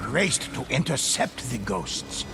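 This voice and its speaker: A man narrating a game story in a measured, solemn way. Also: medium pitch, big pitch swings, gravelly, loud music behind the voice.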